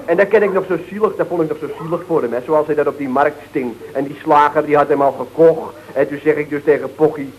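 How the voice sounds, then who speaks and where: An actor playing a cheeky, simple-minded younger underling in an old radio comedy, slangy and defensive, with a Dutch accent. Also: male voice, a little high, fast talker, few pauses, slight background noise, lightly acted. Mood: naive explaining. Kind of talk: 1970s radio comedy acting. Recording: dull old radio recording.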